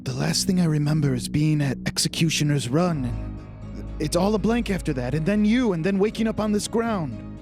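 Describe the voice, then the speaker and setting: A man voicing a bewildered, indignant old man in a tabletop game, speaking haltingly over music. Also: a little high, animated. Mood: explaining. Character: dazed, indignant old man found collapsed in street. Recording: loud music under the voice.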